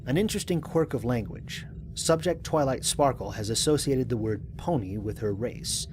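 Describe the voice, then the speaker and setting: A man plays a patient astronaut explorer in a science fiction audio drama. His mid-pitched voice is gentle and thoughtful, as if keeping a mission log, with faint ambient noise behind it. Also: lively intonation, normal speed, lightly acted. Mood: analytical. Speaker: narrator.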